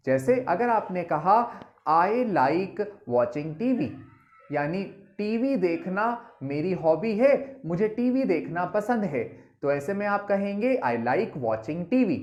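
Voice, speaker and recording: A woman's voice: mid-range voice, a little mellow. A woman with an Indian accent, an English teacher explaining a lesson in mixed Hindi and English, patient and explanatory, often calling her listeners friends. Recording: roomy, slightly dull recording.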